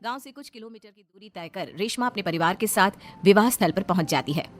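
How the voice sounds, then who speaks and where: A woman's voice: medium pitch, expressive, fast talker, soft background music. A woman narrating a scripted cartoon story.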